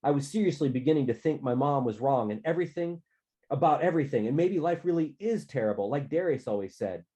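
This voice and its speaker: A man reading a novel aloud as a goofy, lovestruck, optimistic teenage boy, excitable and earnest in an animated first-person telling. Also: medium pitch, fast talker, voice pushed up, lightly acted. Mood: earnest, matter-of-fact. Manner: excitable, earnest, slangy and emphatic.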